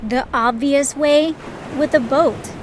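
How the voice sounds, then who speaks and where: A grumpy, sarcastic female adventurer, played by a woman, speaks high and slowly. Every phrase is dry and sharp-tongued, in audio drama style over faint noise. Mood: sour, sarcastic.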